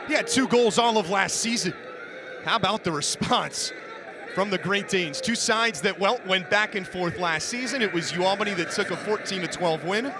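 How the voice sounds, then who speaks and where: High-voiced man calling play-by-play over loud noise. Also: normal speed. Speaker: play-by-play announcer. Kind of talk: live sports play-by-play commentary. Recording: loud arena noise behind commentary.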